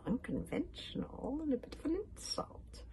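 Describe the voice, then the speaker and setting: Woman putting on a purring, menacing blind witch, swooping high. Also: very animated, normal speed, gravelly, crowd noise, heavily acted. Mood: amused, purring. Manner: purring, grimly polite.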